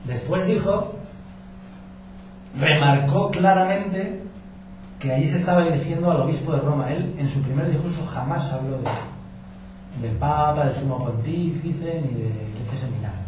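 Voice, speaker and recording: A journalist from giving an informal talk to an audience, colloquial and anecdotal, with frequent restarts. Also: male voice, a little high, a little slow. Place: meeting room with audience. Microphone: distant room mic. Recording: echoey, distant, dull with noise.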